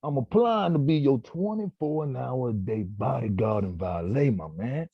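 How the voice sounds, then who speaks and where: An actor at a table read playing a slick street hustler pitching himself as a bodyguard, in a smooth salesman patter. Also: lively intonation, normal speed, no echo. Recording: dull, dry lo-fi audio.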